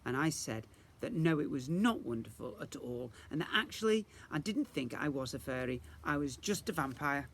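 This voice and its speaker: Highly expressive male storybook reader. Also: normal speed, slight background noise. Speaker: teacher reading a story aloud. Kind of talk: expressive children's storybook narration.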